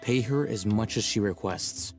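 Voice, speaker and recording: An actor playing a composed, aloof young male scholar in a video game, speaking in a measured, articulate, matter-of-fact way. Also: fairly low pitch, loud music behind the voice, close to an everyday voice. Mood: composed, analytical. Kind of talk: scripted video game dialogue.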